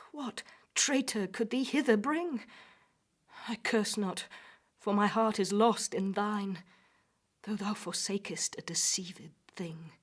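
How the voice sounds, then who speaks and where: A woman playing a forlorn, lovesick young maiden in a dramatic poetry recital, slow, plaintive and partly whispered. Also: medium pitch.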